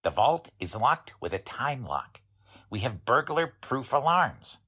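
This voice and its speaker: A man plays a plain-spoken bank attendant in a 1940s-style radio drama. He is chatty and deferential, with a rough-edged husky voice and very animated intonation. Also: medium pitch, a little slow, heavily acted. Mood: earnest, deferential.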